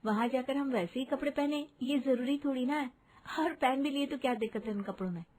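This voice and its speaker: A confident young daughter-in-law acted by a woman in a cartoon family drama. She has a high voice and a modern, outspoken temper. She talks to relatives frankly and with assurance. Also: a little fast, darkish tone. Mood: defiant. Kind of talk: Hindi moral-story cartoon voice acting.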